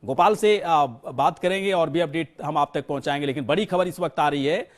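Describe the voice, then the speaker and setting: A man's voice: a little high, a little fast. A man anchoring live breaking news in an emphatic way, often repeating words for stress.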